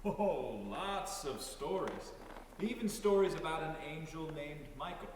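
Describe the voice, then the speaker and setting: A man playing an elderly, devout woodcarver who tells stories in an unhurried, folksy way in a storybook reading. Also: on the high side, animated. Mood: folksy storytelling. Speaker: reader in a dramatic story reading. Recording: very echoey hall, distant, faint noise.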